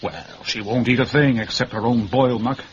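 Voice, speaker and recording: An actor playing a brisk, wry English police detective in a period radio drama, talking in a blunt, colloquial way. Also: male voice, medium pitch, lightly acted. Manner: colloquial, blunt, matter-of-fact. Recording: muffled radio recording, clear background noise.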